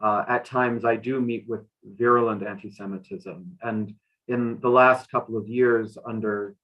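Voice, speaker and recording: A man speaking as a literary translator on a discussion panel, thoughtfully, often halting and repeating a phrase as he goes. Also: on the low side, fairly even intonation, muffled recording. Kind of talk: panel discussion, interpreting and answering questions.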